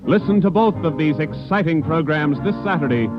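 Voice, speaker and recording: An American man reading a script as a 1940s radio announcer, formal and measured. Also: fairly high pitch, over music. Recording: dull 1940s radio recording.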